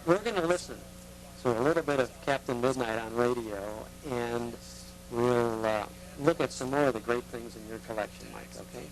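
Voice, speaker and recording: A man's voice: mid-range voice, normal speed, slight background noise, low-quality recording. A man hosting a local TV talk show, chatting with a guest and signing off in an easygoing way. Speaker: TV host.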